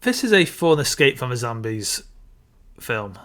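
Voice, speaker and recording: A man's voice: medium pitch, very animated, a little slow, a bit bright. A man co-hosting a podcast, talking casually about films. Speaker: podcast co-host. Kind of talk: casual film discussion.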